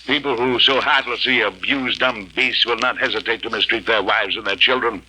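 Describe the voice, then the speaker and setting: An actor playing a principled gentleman diplomat in an old radio drama, earnest, firm and dignified. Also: medium pitch, moderate pace, husky, low-quality recording. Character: principled diplomat turned animal defender, man. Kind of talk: 1940s radio acting.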